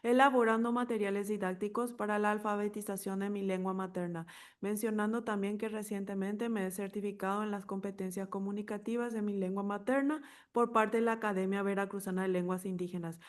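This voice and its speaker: Flat-voiced woman moderating a workshop. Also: medium pitch, fairly quick. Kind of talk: moderating and reading a message aloud.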